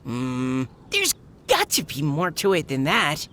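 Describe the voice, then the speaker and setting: An actress playing a curious, expressive young woman in a video game cutscene, earnest and questioning. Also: deep voice, slow pace, a little hiss or hum, full character voice. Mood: curious disbelief.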